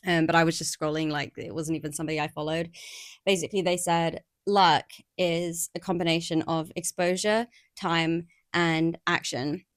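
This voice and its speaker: A woman's voice: low-pitched, normal speed, no echo, studio quality. A woman in her thirties co-hosting a podcast, chatting casually and acting out her own doubts in quotes. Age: 30s. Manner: animated, acts out her own inner voice in quotes. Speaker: podcast co-host. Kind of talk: casual chat.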